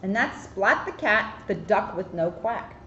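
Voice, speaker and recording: A woman's voice: medium pitch, expressive, measured pace, fairly bright tone. A woman police officer reading a picture book aloud expressively, with chatty, casual asides. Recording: roomy echo, muffled, faint noise.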